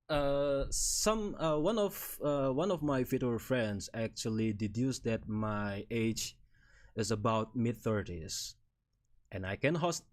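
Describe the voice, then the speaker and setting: Man, 30s, Indonesian accent, casual streamer chat, rambling, chuckles often, very animated intonation. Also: medium pitch, takes it slowly. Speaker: VTuber streamer. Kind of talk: casual live-stream chat presenting slides.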